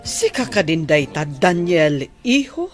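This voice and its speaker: A woman's voice: sits low, very animated, a little slow, music in the background. A woman with an Ilocano accent acting a kindly, encouraging school principal in a radio drama.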